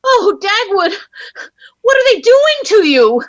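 Fretful young wife, protective, very high shrill voice, swooping intonation, old-time radio comedy. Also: female voice, big pitch swings, slow pace, heavily acted. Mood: distressed. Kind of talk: 1940s radio comedy acting. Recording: vintage radio broadcast.

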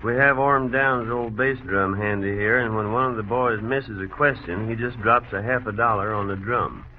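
A man's voice: lowish voice, fairly even intonation, normal speed. A man from Texas trading short, folksy comic banter as a musician on an old-time radio show. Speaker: band bass player, guest on quiz show. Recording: tinny, noisy vintage radio recording.

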